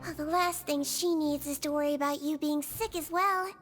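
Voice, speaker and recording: Gentle non-human game nurse, very high and sweet, teasingly kind. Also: female voice, fairly even intonation, normal speed, faint music behind. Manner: soft, motherly, gently teasing. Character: small gentle female melusine nurse, kindly and teasing. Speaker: prison nurse.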